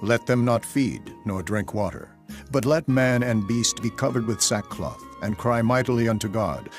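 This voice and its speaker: A man playing a solemn, authoritative king proclaiming gravely in a dramatised scripture reading. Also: medium pitch, animated, moderate pace, soft background music, close to an everyday voice. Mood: grave, commanding.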